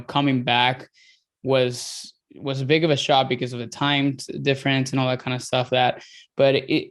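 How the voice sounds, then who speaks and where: A man from Venezuela in his thirties with a Venezuelan accent, a dietitian guest talking casually in an interview, rambling with many fillers and restarts. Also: medium pitch.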